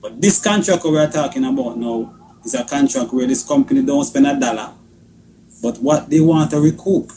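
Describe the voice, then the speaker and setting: A man's voice: mid-range voice, moderate pace, mellow, slight background noise. A man with a Caribbean accent arguing on a radio panel in an insistent, questioning manner. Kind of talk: argumentative radio discussion.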